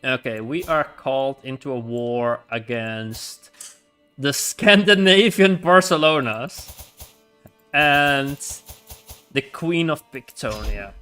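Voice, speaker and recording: Sex: male. Role: livestreamer. Style: casual game commentary. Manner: rambling, giggly, restarts words. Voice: bright, mid-pitched. Behind: faint music. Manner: chatty, rambling, laughs often, restarts words.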